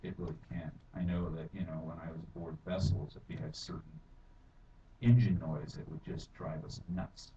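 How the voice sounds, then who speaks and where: A deep-voiced middle-aged man testifies in a rambling way, with many fillers, and turns forceful as he goes. He sounds dull and distant, caught across a reverberant council chamber. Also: normal speed, vocal fry. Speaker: public testifier. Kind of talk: public testimony at a council hearing. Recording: echoey hall from far off, dull and noisy.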